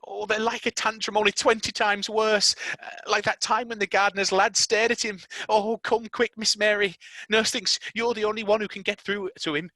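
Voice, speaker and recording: A storyteller voicing a flustered, kindly young housemaid who talks in a fretful, hurried way. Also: medium pitch, little pitch movement, sharp tone, no room sound, pitched above the performer's own voice.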